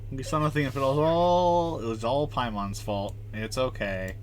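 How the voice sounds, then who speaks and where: A man's voice: medium pitch, moderate pace. A man livestreaming a game, giving casual, chatty, joking commentary as he plays. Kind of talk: casual live commentary on gameplay.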